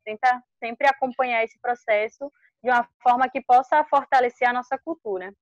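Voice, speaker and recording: A woman's voice: fairly high pitch, fairly even intonation, normal speed, no room sound. A Brazilian woman speaking casually as a guest in an online discussion, on a dull lo-fi call recording. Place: video call.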